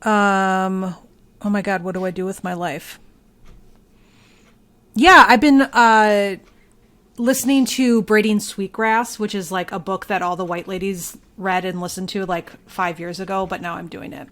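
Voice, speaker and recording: A woman's voice: mid-range voice, unhurried, fairly bright tone. A woman guest on a talk show, playful and joking in the way she speaks. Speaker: guest, local journalist. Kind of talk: casual chat with dramatic reading of public comments.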